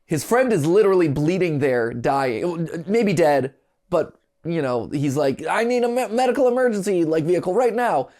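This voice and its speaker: Animated, incredulous man reacting to a video. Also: fairly high pitch, normal speed. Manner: incredulous, joking, exclamatory. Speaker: reaction show host. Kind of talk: excited reaction commentary.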